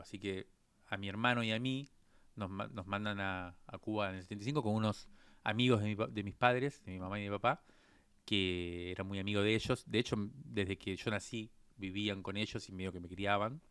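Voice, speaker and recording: A man's voice: on the low side, fairly even intonation, brisk, a bit bright, clean tone, no echo. A man in his fifties from Argentina, speaking with an Argentine accent as an interview guest, telling his life story thoughtfully with frequent hesitant fillers. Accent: Argentine Spanish. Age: 50s. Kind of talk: reflective personal storytelling.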